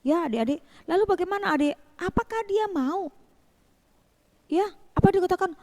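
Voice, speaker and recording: A woman telling a Bible story to children as a Sunday school teacher, in an animated way with rhetorical questions and repetition. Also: extremely high pitch, moderate pace.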